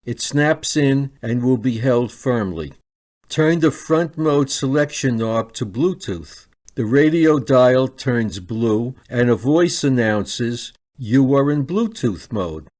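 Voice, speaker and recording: A man reading a scripted, step-by-step product review in a measured, instructional manner. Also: medium pitch, a little mellow.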